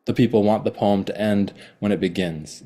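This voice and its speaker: A man reading his own poems slowly and deliberately, with hesitant, rambling remarks between them. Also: fairly low pitch, warm tone. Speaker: poet. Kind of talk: poetry reading with chatty asides.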